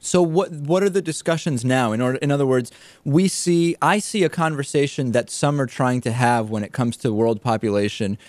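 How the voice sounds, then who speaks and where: A man with an American accent hosting a talk show, giving articulate, measured political commentary and interviews in a conversational way. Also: mid-range voice, a little mellow, no room sound.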